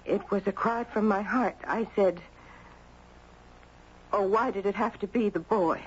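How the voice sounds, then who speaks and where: An actress playing a guilt-ridden, grieving middle-aged mother in halting, confessional 1970s radio drama style. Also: medium pitch, close to an everyday voice. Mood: anguished, remorseful. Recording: muffled, noisy 1970s radio recording.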